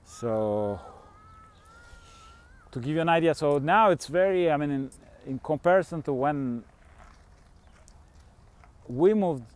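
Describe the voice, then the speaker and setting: A man's voice: a little high, lively intonation, takes it slowly, pauses often. A middle-aged man from Switzerland, rambling candidly through a casual vlog monologue with the odd chuckle. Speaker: fasting coach and vlogger.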